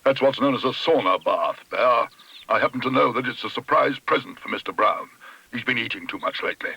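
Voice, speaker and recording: A man's voice: medium pitch, brisk, gravelly, pushed and bright, husky, distant mic, full character voice, rough recording. A man with a British accent voicing a grumpy, bossy, self-important male neighbour in a children's storybook, blustering and indignant. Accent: British English.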